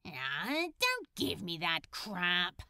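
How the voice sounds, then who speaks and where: Woman playing a flustered, defiant captive hero, animated. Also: on the low side, drawn-out words, clean tone, no echo, clean studio sound, voice pushed down, exaggerated voice. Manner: exasperated, stammering, blurting. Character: defiant, flustered male superhero captive, sardonic and excitable.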